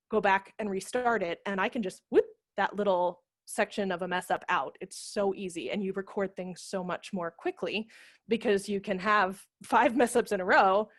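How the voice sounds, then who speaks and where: A woman in her thirties giving informal practical instruction on a video call, explaining with quick asides. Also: mid-range voice, no room sound, studio quality. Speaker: theatre director and workshop presenter. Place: home room with brick wall, video call. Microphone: headset microphone.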